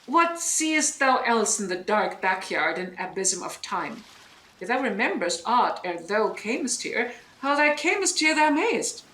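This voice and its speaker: A woman's voice: fairly high pitch, very animated, measured pace, sharp tone, full character voice. A woman playing a bitter, scholarly deposed duchess and sorceress mother in Shakespearean audio drama, speaking in a declamatory, emphatic way that demands attention. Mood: solemn, reflective. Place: indoor room, recorded over internet link. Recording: roomy remote recording, noise.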